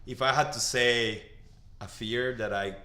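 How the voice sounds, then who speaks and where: A man from Colombia, a podcast guest and father, speaking earnestly and haltingly in casual conversation. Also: medium pitch, fairly even intonation, pushed and bright, echoey room. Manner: earnest, halting, repeats words.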